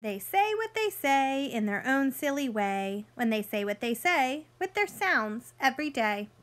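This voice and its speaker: Slow, high-voiced woman reading to children. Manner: expressive, sing-song, simple teacherly phrasing. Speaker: teacher reading a picture book aloud. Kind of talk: children's read-aloud with questions to young listeners.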